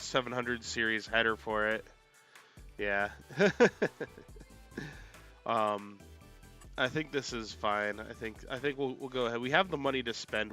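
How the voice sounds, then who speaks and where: A man's voice: mid-range voice, sharp tone. A man live-streaming a farming game, rambling and thinking aloud with lots of fillers, still a little congested from being sick. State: congested, recovering from illness. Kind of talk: casual gameplay live stream chat.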